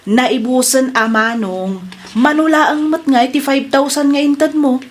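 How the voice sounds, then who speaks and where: An actress with a Filipino accent playing a young college student, a pleading little sister, in an Ilocano radio drama. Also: female voice, high voice, unhurried.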